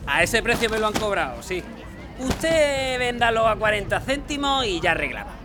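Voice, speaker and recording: A man playing a brisk, bossy town mayor in a radio drama. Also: sits high, sing-song, crowd noise, background noise. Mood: firm. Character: brisk, bossy mayor fixing a bread shortage.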